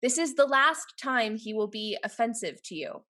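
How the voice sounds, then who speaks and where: Bright-voiced, poised young heiress played by a woman. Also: on the high side, lively intonation, normal speed. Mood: dutiful, persuasive. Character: poised, clever young heiress feigning obedience. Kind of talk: scripted stage comedy acting.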